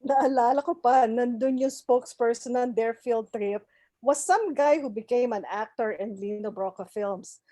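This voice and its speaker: Woman, Filipino accent, soft dark timbre, conversational script read, very dry recording. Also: fairly high pitch, moderate pace, no echo. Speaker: reader at a table read. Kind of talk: conversational script reading as reminiscence. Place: online video call.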